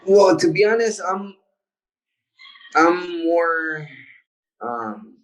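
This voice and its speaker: A young man, a soccer player born in the United States, talking in a relaxed and halting way in a casual interview. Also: fairly high pitch, poor audio. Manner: laid-back, halting, with many restarts. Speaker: professional soccer player, guest.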